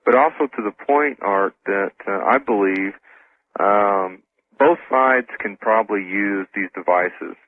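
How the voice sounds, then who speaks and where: A man's voice: on the low side, a little slow. A man being interviewed on talk radio by phone, explaining casually and earnestly with hesitant phrasing. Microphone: telephone. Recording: thin, tinny phone line.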